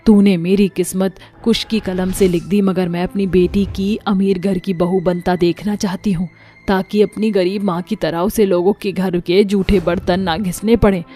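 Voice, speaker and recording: A woman's voice: mid-range voice, steady tone, normal speed, warm tone, lightly acted. A woman playing a poor, humble widowed mother who pleads emotionally in a storybook drama. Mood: anguished, pleading. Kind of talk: audio storybook drama acting. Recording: music under the voice.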